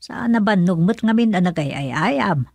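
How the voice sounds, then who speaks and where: A woman's voice: mid-range voice, lively intonation, moderate pace, gravelly, darkish tone, breathy edge, no echo. A woman playing a caring older mother and grandmother in an Ilocano radio drama, with a Filipino Ilocano accent. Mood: concerned.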